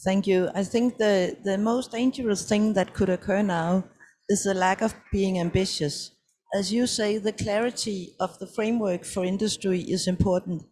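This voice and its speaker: A woman's voice: medium pitch, fairly even intonation, normal speed, a little mellow, low-quality recording. A woman, answering firmly on a conference panel stage and laying out her points one after another. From Denmark. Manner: firm, listing points. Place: conference pavilion stage, indoors.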